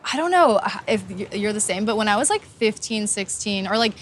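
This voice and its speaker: A woman's voice: mid-range voice, animated, a little fast, slight background noise. A woman from Hawaii in her thirties, a professional surfer, chatting casually in an interview and leaning on lots of "like" fillers. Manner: laid-back, lots of "like" fillers. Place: outdoors on a beach. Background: music.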